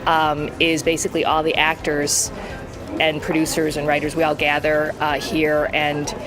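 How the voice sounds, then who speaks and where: Halting, explanatory man over music. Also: a little high, completely flat delivery, low-quality recording. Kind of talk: explanatory behind-the-scenes interview.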